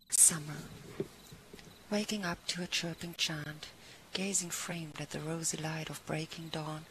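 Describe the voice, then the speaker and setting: A woman's voice: low-pitched, a bit bright. A woman reciting poems aloud as a reader at a poetry gathering. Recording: clearly noisy recording with ambience.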